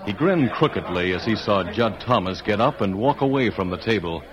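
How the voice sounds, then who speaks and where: A man's voice: on the low side, lively intonation, normal speed, crowd noise, lightly acted. A man narrating an old-time radio western in a formal, dramatic, scripted style. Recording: dull old radio recording, music behind.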